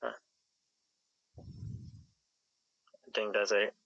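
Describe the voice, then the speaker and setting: Slow, hesitant gamer on a dull mic. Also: low-pitched, lively intonation, creaky, a bit bright, dry recording. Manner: terse, hesitant. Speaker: player in a game roleplay. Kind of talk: casual improvised Minecraft roleplay.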